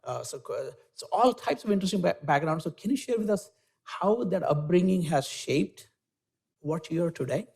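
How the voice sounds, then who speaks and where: A man's voice: fairly high pitch, expressive, moderate pace, gravelly, a little mellow. A man moderating a university lecture, giving a formal, eager introduction and then interviewing the guest, with small hesitations. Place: university auditorium, live audience.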